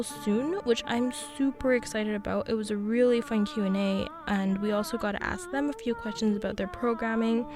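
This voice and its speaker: A woman co-hosting a podcast, explaining things in a conversational way. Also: on the high side. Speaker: podcast co-host.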